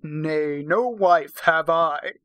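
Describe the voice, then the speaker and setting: A man's voice: a little high, very slow, fairly bright tone, smooth, dry recording. A man reads out an imperious Viking warrior from a romance novel. He speaks in stern, archaic phrases with a haughty snarl. The sound is dry, with little room on it. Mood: indignant.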